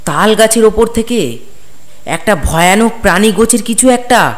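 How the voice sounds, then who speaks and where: High-pitched male horror narrator in heavy reverb. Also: expressive, normal speed. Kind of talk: scripted horror story narration. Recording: long hall reverb under clear music.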